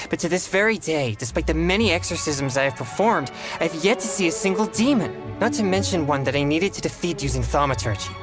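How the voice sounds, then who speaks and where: A man voicing an earnest, polite teenage boy exorcist in a video game, with formal, measured phrasing. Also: medium pitch, lightly acted. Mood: wistful, resigned.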